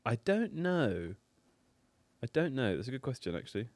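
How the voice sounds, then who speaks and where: A man's voice: medium pitch, big pitch swings, darkish tone, dry recording. A man hosting a live football watchalong, chatty and playful, talking through the match with his co-hosts in a studio. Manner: chatty, playful, quick to joke. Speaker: host.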